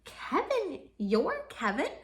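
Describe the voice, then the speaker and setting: An excitable little storybook boy, acted out by a woman reader, pitched high. He is innocent and eager in manner, even when telling fibs. The room around the voice is audibly echoey. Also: takes it slowly, full character voice. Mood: excited, delighted.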